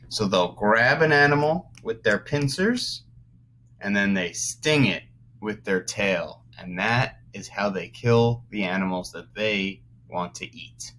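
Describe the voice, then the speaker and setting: A man teaching young children through a read-aloud, pausing to explain words as he goes. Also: fairly low pitch, fairly bright tone, slight background noise. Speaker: first-grade teacher.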